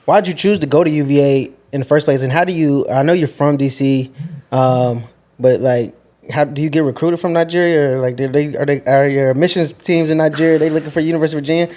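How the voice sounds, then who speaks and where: A man's voice: fairly high pitch, steady tone, quick pace, darkish tone. A young man hosting a podcast, asking his guest questions in upbeat, friendly casual chat. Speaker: podcast host.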